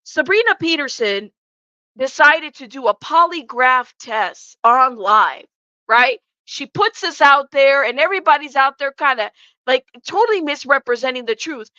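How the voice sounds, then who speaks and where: A woman's voice: sits high, lively intonation, moderate pace, pushed and bright, no room sound, lo-fi sound. A congested woman hosting a livestream, chatting casually and bluntly with her viewers and swearing freely. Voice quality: congested, stuffed-up nose. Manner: informal, blunt, sweary, addresses chat directly. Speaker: livestream host. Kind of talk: casual live commentary to viewers.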